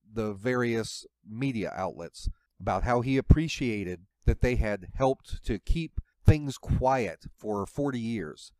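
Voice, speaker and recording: A man's voice: on the low side, darkish tone, dry recording. A man giving opinionated political commentary in an emphatic, rhetorical, preachy way.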